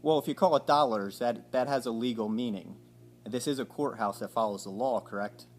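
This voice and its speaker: A man in his forties at home, asking slow, hesitant, mock-innocent questions on a recorded phone call. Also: medium pitch, faint music behind. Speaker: caller. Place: home living room by a window.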